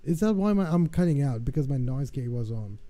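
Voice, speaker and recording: A man's voice: medium pitch, lively intonation, brisk, warm tone. A streamer man casually mutters to himself while testing his audio settings, thinking aloud and swearing when things go wrong.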